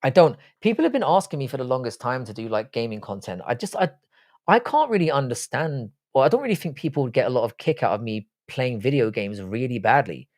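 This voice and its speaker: A man's voice: mid-range voice, big pitch swings, quick pace, darkish tone. A man in his forties with a South London accent, hosting a casual live stream, rambling, swearing freely and answering viewers' chat. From South London, England. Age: 40s.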